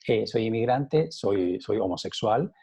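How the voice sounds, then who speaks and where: Soft-voiced Cuban man, halting speech. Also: lowish voice, slightly rough. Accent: Cuban Spanish, softened by years in Spain. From Cuba. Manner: hesitant, many repeated words and fillers; animated, rising emphasis on key points. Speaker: scientist, interview guest. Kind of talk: conversational interview answers.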